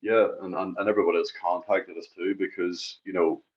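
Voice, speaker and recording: Low-voiced Northern Irish man, slightly muffled. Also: level tone, fairly quick. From Northern Ireland. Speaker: music podcast co-host. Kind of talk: casual podcast chat.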